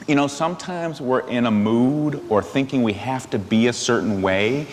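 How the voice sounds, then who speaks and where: A middle-aged man, a minister giving a children's sermon, speaking in short, simple phrases with pauses as he explains things to young listeners. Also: medium pitch. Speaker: minister leading a children's story time. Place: large church sanctuary, seated on steps indoors. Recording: echoey church hall with background noise.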